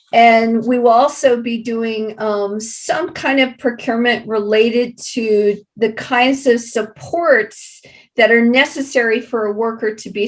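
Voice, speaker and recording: High-voiced woman explaining, halting and deliberate. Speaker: guest explaining a project's workforce programs. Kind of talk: unscripted interview answers.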